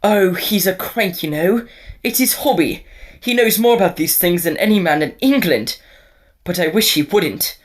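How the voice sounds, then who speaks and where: A woman playing an anxious, well-meaning, fretful young student in an audio drama, with a husky voice. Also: lowish voice, lively intonation, moderate pace. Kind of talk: acted audio drama dialogue.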